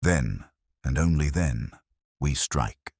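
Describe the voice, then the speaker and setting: A man voicing a commanding, coolly calculating male detective in an audiobook story, speaking with crisp, deliberate authority. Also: a bass voice, expressive, darkish tone, dry recording, clean studio sound, heavily acted. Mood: calm, calculating.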